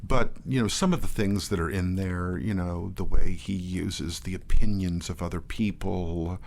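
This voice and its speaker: A man talking as a guest in a casual in-depth discussion, often restarting his phrases in a halting way. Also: deep voice, steady tone, creaky, a little mellow, a little hiss or hum. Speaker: guest, theatre artistic director and performer.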